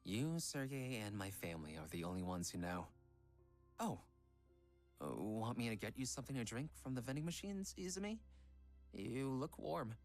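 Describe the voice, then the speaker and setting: A man voicing a gentle, earnest young pop idol in a visual novel game, soft-spoken and a little hesitant. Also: mid-range voice, animated, normal speed, soft background music, close to an everyday voice. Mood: tender, hesitant. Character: gentle young male Korean pop idol, devoted fiancé.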